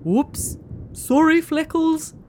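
A woman's voice: high-pitched, a little mellow, slight background noise, voice pushed up. Woman doing a shy, polite boy rain cloud. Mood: polite, anxious. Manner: pleading, apologetic, drawn-out. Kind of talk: storybook character voice.

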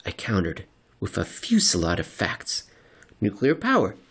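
A man reading a scripted narration in a dramatic, deliberate and wry manner. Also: fairly low pitch, huge pitch swings, fairly bright tone, slightly rough. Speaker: narrator and reader. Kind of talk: theatrical scripted narration of market report and story.

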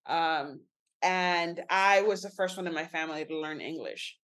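A woman's voice: low-pitched, a little slow, a bit bright. A Mexican American woman from the United States whose first language is Spanish, a guest speaking frankly and informally. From United States, Mexican American family. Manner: frank, slangy. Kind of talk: casual interview talk.

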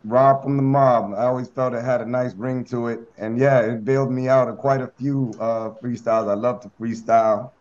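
A man being interviewed as a guest rapper, talking in a relaxed, easygoing way with lots of fillers. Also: mid-range voice, normal speed, few pauses. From United States. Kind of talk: casual interview on a conference call. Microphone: conference call line. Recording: dull lo-fi call audio.

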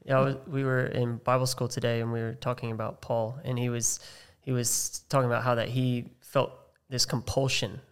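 A man's voice: medium pitch, level tone, moderate pace, few pauses, smooth. Friendly man hosting a podcast. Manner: friendly and affirming, with fillers and restarts. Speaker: podcast host. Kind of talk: podcast interview.

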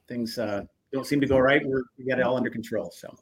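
A man, hosting a casual live show in an upbeat, halting way with many uhs. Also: mid-range voice, a crowd in the background. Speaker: show host.

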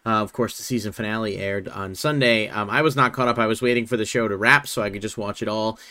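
A young man hosting a casual podcast, a little hoarse from a sore throat, talking in a relaxed, rambling way with many fillers. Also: lowish voice, quick pace. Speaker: podcast host. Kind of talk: casual chat.

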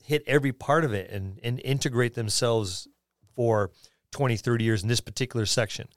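A man with a medium-pitched voice talks casually as a podcast co-host. His delivery is conversational, like a discussion between gaming friends. The recording is dry, with hardly any room sound. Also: brisk, dry recording. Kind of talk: casual discussion of tabletop roleplaying.

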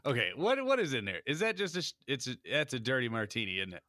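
A man's voice: mid-range voice, fairly quick, dry recording, studio quality. A man co-hosting a casual podcast, laughing often and joking with his friend. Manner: laughs often, animated, bright. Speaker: podcast co-host. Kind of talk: casual chat.

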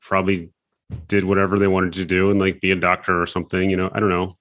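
A man in casual conversation, relaxed and affirming, chatting along with plenty of filler words. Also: low-pitched, fast talker, lo-fi sound.

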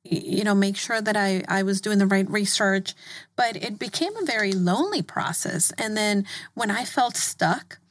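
A woman's voice: mid-range voice, animated, normal speed, a little hiss or hum. Supportive woman interviewer. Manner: supportive, many affirming murmurs. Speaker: interviewer host. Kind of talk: casual interview conversation.

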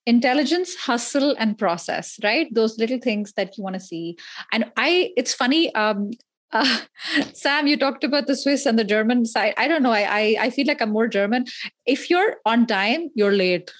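Effusive woman podcast host, higher voice. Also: lively intonation, moderate pace. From India. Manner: effusive, informal, rambling. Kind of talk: casual conversational interview.

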